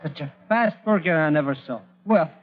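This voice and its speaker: Ingratiating foreign comic hanger-on, male actor, very high and animated, vintage radio play, phone-like sound. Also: fairly quick, close to an everyday voice. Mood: familiar, teasing. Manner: excitable, flattering, stilted phrasing. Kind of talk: 1940s radio acting. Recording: tinny old radio recording, faint music.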